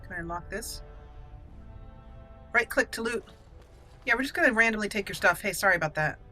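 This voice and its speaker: A woman's voice: medium pitch, lively intonation, brisk, pushed and bright, faint music behind. A woman giving casual, chatty, playful commentary as she hosts a let's play gaming video.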